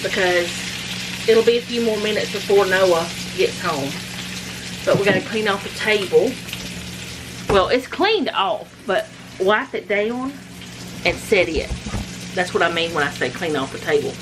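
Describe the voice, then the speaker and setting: A woman's voice: medium pitch, animated, unhurried, pauses often, noisy background. A middle-aged woman, rambling casually with self-deprecating asides as she cooks supper in her home kitchen. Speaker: home cooking vlogger. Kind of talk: casual cook-along chat. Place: home kitchen with food frying. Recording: home kitchen with loud sizzling.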